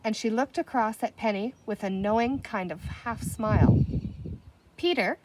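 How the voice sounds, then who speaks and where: A woman narrating a children's storybook in an expressive, scripted read-aloud style. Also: mid-range voice, moderate pace, heavy background noise. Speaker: storybook reader.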